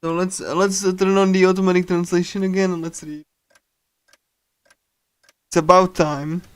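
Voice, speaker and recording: A man livestreaming a game, casually commenting and reading aloud in a halting way, often repeating himself and stumbling. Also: high-pitched, little pitch movement, smooth, no room sound. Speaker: streamer. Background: game music.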